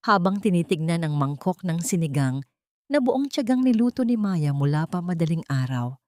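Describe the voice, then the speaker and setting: A woman with a fairly low voice narrates a dramatic story. Her delivery is solemn and melodramatic, and the recording is close and dry. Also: darkish tone, no echo.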